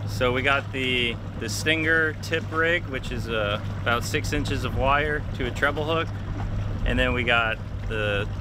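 Upbeat male fishing host on a boat. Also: mid-range voice. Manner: playful, jokey banter with the fish. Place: small boat offshore, outdoors. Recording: boat and wind noise outdoors. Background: boat engine and water noise.

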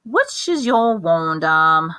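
A woman reading aloud voices an intense, eccentric old male wandmaker with a lilting, drawn-out delivery and sudden sharp emphasis. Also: on the low side, big pitch swings, dry recording, clean studio sound, full character voice. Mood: probing.

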